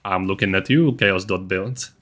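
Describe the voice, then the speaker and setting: A man's voice: low-pitched, moderate pace, a bit bright. Man narrating a gaming build guide. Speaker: gaming video creator.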